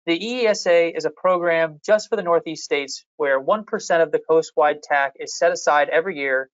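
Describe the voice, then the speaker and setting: Staff presenter reading thru slides, measured, dry muffled audio. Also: mid-range voice, a bit bright, no room sound. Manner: measured, methodical, explanatory. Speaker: fishery management staff presenter.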